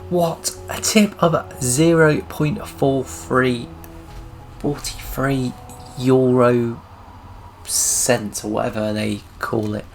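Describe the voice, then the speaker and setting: A man commentating a video game playthrough, grumbling with wry asides. His mid-pitched voice has a gravelly fry. Quiet game music plays beneath him. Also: fairly even intonation, slow. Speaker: gaming commentator. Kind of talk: casual gameplay commentary.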